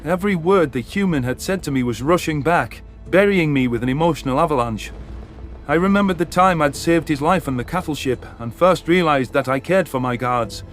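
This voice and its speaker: A man's voice: on the high side, fairly quick. A man narrating a science fiction story in the first person with dramatic delivery. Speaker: audiobook narrator. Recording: narration over background music.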